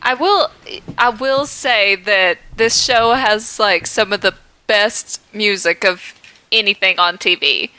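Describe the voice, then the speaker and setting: A woman's voice: mid-range voice, slow pace, pushed and bright, clear, resonant tone. A young woman co-hosting a fan podcast, enthusiastic and hyperbolic in casual chat. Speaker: podcast co-host.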